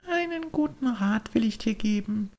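A man voicing the gentle, solemn ghost of a cricket in a soft, hollow, breathy whisper, pleading slowly in a storybook reading. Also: high-pitched, expressive, voice pushed up. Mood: solemn warning. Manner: slow, drawn-out pleading.

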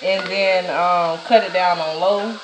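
A woman's voice: on the low side, steady tone, slow. A woman in her thirties chatting casually to viewers while she cooks in her home kitchen, recorded by a camera on the counter. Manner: chatty, talks to viewers as "y'all", scolds kids. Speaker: vlogger cooking at home. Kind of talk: casual cooking vlog chat. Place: small home kitchen at night. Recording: echoey kitchen with faint noise.

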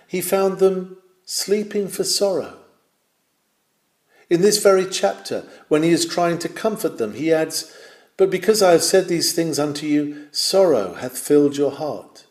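A man reading a nineteenth-century sermon aloud, measured and emphatic, rising on his questions. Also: on the high side, lively intonation, darkish tone. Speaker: sermon reader. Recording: roomy echo.

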